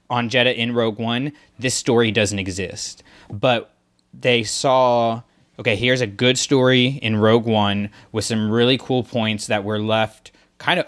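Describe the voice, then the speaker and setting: A man co-hosting a podcast, talking casually with lots of fillers and getting excited as ideas come to him. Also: lowish voice. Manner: rambling, filler-heavy, gets excited. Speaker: podcast co-host.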